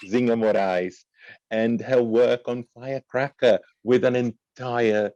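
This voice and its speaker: A man moderating an online panel, upbeat and halting between thoughts, heard over a video call. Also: mid-range voice, creaky, dark tone, no echo. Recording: dull, dry video call audio.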